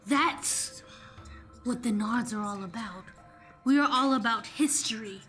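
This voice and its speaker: A woman's voice: on the high side, a little slow, fairly bright tone. A woman playing a haughty, mystical noble in an improvised comedy radio play, speaking pompously with dramatic repetition. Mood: proud, mystical. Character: haughty, mystical member of a shadow-communing noble house. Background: faint music.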